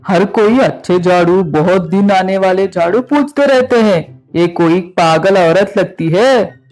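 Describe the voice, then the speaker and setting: Man acting a high-pitched, crafty broom seller. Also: measured pace, darkish tone, faint music behind. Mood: exasperated. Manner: calling out wares, exclamatory. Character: male street broom seller, crafty, grumbling, opportunistic. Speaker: voice actor in animated story.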